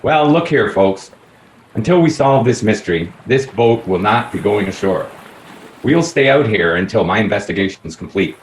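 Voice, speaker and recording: Man acting a low-voiced, pompous police detective. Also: expressive, normal speed, close to an everyday voice. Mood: probing. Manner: pompous, repetitive, officious. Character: pompous, plodding police detective, self-important and blunt. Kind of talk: radio play acting. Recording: echoey room, faint background noise.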